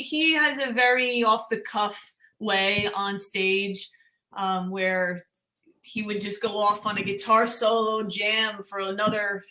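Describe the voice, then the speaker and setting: A woman's voice: medium pitch, pushed and bright, low-quality recording. A woman with a Long Island accent, a guest musician chatting casually in a live-stream interview, often trailing off and laughing.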